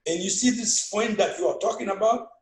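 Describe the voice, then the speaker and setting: Older Haitian man, strong accent, Creole native, lively anecdotes, roomy echo. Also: high-pitched, fairly quick. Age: 60s. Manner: animated storytelling, broken English phrasing. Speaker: guest, father. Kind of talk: casual family conversation.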